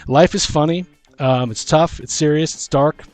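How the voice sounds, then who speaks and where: A man's voice: on the high side, moderate pace, a little mellow, no echo, faint music behind. A man co-hosting a podcast in a casual, rambling, jokey way, acting out little bits of dialogue as he goes. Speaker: podcast co-host. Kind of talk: casual chat.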